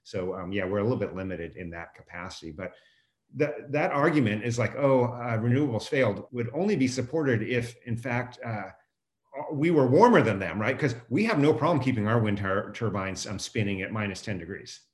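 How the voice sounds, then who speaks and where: A man's voice: on the low side, lively intonation, brisk. An American man answering as a guest expert, explaining things in a casual, conversational way.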